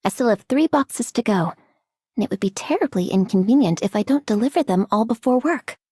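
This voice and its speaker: A busy, friendly game courier. Her voice is fairly high yet soft-edged and husky, with a brisk manner. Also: lively intonation, no echo, clean studio sound. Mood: friendly, upbeat. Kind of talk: game character voice line.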